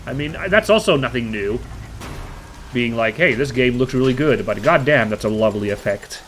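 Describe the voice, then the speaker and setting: A man's voice: medium pitch, expressive, moderate pace, fairly bright tone, slight background noise. An American man giving casual, rambling commentary over a video game, with admiring asides. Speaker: let's play commentator.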